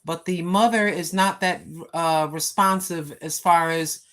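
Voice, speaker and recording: A woman's voice: on the low side, fairly even intonation, measured pace, a bit bright. A woman in her sixties chatting casually on a live stream from home, rambling with many fillers and talking straight to her viewers. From United States. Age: 60s. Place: home, seated. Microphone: phone.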